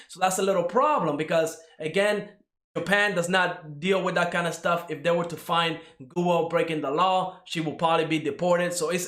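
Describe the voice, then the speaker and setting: A young man from Cuba chats through an anime episode review in a casual, rambling way, leaning on fillers and tag questions. His voice sits a little high for a man. The room around him adds a noticeable echo. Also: fairly bright tone. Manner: rambling, with many fillers and "right?" tags.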